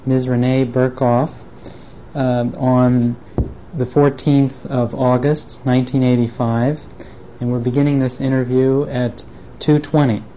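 A woman conducting a formal oral history interview, asking questions in a halting, hesitant way on an old tape recording. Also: extremely low pitch, level tone, mellow. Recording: dull, noisy 1980s interview tape.

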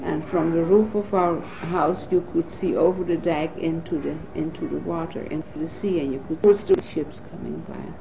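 A woman in her fifties from the Netherlands, a native Dutch speaker, recalling her life in an oral history interview with thoughtful pauses and fillers. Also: low voice, steady tone, vocal fry, noisy background. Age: 50s. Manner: thoughtful, pausing with frequent fillers. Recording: dull lo-fi 1980s tape recording.

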